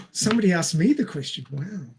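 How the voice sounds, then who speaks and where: A man with a notably high voice and an Australian accent. He chats casually as a podcast co-host, joking and poking fun at himself. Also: expressive, normal speed, a little mellow, breathy edge. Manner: jokey, self-deprecating. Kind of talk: casual chat.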